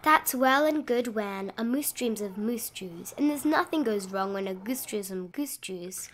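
High-voiced woman reading a rhyming storybook. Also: animated, measured pace, a little hiss or hum. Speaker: storybook narrator. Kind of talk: expressive read-aloud of a rhyming children's bedtime picture book.

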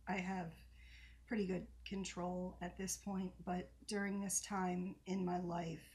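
A woman in her thirties who hosts software training videos, talking casually and reacting to old clips, with a mild stutter and occasional repeated words. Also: fairly low pitch, level tone, measured pace. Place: home office with bookshelves.

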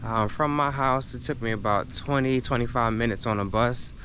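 A man's voice: medium pitch, normal speed, fairly bright tone. Chatty Philly man vlogging outdoors. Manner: chatty and upbeat, addressing viewers as y'all. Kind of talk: casual vlog talk to camera. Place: outdoors in a park on a sunny day. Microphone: handheld action camera at arm's length while walking. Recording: outdoor noise, insects and traffic. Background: cicadas, insects and passing traffic.

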